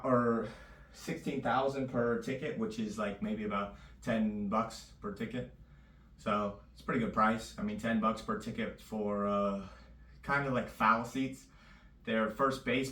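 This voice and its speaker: Man, low voice, slightly raspy, loose rambling chat, echoey room, distant mic. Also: a bit bright. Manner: loose and rambling, full of fillers. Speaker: vlogger.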